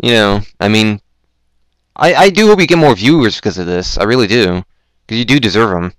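Young male host, low smooth voice, rambling, self-deprecating, a little hoarse, dry close mic. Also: lively intonation, fairly quick, no echo. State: throat going hoarse. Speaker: podcast host. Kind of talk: casual chat on a Skype call.

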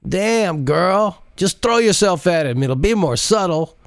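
A man's voice: sits high, expressive, a little slow, dry recording, studio quality, pitched above the performer's own voice. A man doing a wisecracking young sidekick. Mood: mocking. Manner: mocking, sing-song teasing. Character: cheeky young male assistant, wisecracking.

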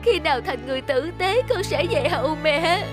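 An actor playing a remorseful young prodigal son in a melodramatic cartoon, wailing and pleading through tears. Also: male voice, very high voice, animated, moderate pace, loud music behind the voice. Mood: weeping remorse. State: crying.

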